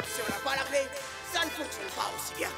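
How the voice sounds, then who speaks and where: Vain, high-pitched soccer star diva. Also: male voice, lively intonation. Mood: grandiose. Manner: pompous, theatrical, drawn-out. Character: vain, melodramatic male star soccer player, self-adoring diva. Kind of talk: French cartoon dub acting. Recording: loud music behind the voice.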